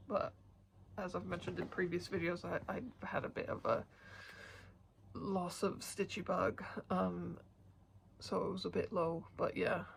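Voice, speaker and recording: A woman with a blocked, sniffly nose talks to camera in a loose, rambling way, pausing often with hesitant fillers. Her voice has a gravelly, fry-tinged texture. She keeps her pitch flat and even throughout. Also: slight background noise. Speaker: cross-stitch vlogger. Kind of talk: casual chat to camera.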